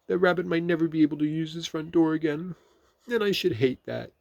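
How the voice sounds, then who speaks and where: A man voicing a gentle, simple storybook bear, talking in a high, plaintive, earnest way. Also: fairly even intonation, moderate pace, dry recording, dull audio, natural voice.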